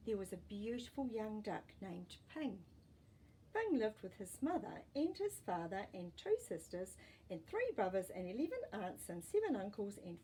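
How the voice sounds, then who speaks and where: A woman reading a children's storybook aloud as a storytime host, with deliberate, expressive phrasing for young listeners and small asides. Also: medium pitch, a little hiss or hum, low-quality recording.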